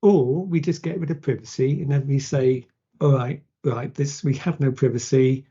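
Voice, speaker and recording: A man's voice: medium pitch, fairly even intonation, moderate pace, mellow, slightly rough. A man hosting a podcast interview, casual and joking in his questions. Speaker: podcast host. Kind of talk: conversational interview. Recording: dull lo-fi sound.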